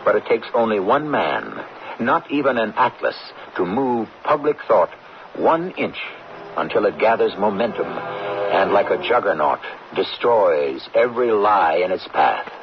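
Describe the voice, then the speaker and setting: A man with a low voice narrates an old-time radio drama as its host. His delivery is portentous and measured, with sweeping intonation. The broadcast sounds muffled and lo-fi. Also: sing-song, background noise.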